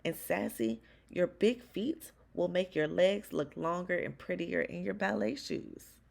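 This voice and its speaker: A woman plays a storybook mother, firm and loving with the children. The voice is fairly low, with a crackly edge. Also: expressive, unhurried, creaky, darkish tone, heavily acted. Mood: scolding, reassuring. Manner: firm, reassuring. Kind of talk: storybook read-aloud.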